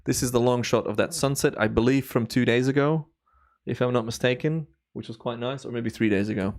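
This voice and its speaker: A slightly hoarse young man from Belgium, chatting casually with viewers while reviewing his timelapse footage on a live stream. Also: medium pitch, brisk. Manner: relaxed, rambling, chatty with viewers. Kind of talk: relaxed live stream commentary.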